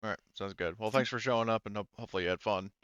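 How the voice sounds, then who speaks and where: Low, smooth male game master, muffled audio. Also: racing, fairly bright tone. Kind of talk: casual tabletop game refereeing.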